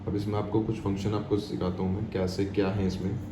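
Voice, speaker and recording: Low-voiced Indian man in an echoey room. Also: level tone, fast. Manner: explanatory, repetitive, mixes English terms into speech. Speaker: product demonstrator. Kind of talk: informal step-by-step tutorial. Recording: echoey room with clear background noise.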